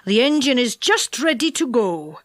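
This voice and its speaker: A helpful storybook train driver, an easygoing man, voiced by a woman. He explains in a measured, instructive way, close and dry on the recording. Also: on the high side, sing-song, fairly bright tone, dry recording. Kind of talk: children's storybook character reading.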